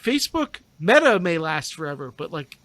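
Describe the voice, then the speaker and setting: A man's voice: on the high side, big pitch swings, normal speed, fairly bright tone, no echo. A man chatting casually as a podcast guest, speaking in an opinionated, emphatic way. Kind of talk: casual chat.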